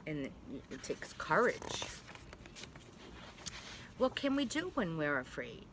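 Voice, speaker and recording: A woman preschool teacher reading a storybook aloud to young children, gently and reassuringly, with chatty asides. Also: deep voice, sing-song, slow pace, background noise. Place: at home.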